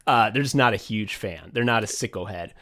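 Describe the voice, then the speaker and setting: A man's voice: on the low side, fairly quick, sharp tone. A man co-hosting a podcast, chatting casually, rambling with frequent swearing, fillers and restarts. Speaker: podcast co-host and writer.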